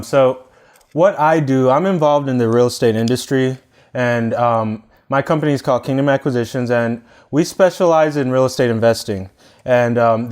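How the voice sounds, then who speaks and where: A man's voice: mid-range voice, smooth. A man in his twenties from Italy, answering interview questions conversationally in an upbeat, explanatory way. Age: 20s. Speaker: podcast guest, entrepreneur.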